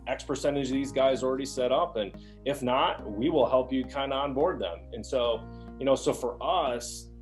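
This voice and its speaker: A man with a mid-pitched voice talks through business matters as a guest executive. His delivery is informal and conversational, and he often slips into imagined quotes to make a point. Faint music sits behind him. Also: a little mellow. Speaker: logistics executive, webinar guest.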